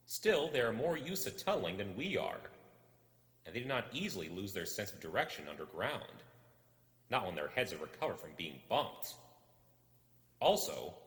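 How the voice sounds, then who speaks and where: Deep-voiced man reading a story, echoing. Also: a bit bright. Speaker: audiobook reader. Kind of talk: expressive storybook narration. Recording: roomy echo with long reverb.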